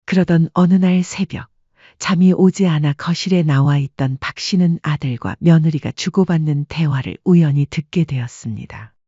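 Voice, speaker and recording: A woman narrating a scripted story with a soft, husky voice. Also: deep voice, normal speed, dry recording, highs cut off.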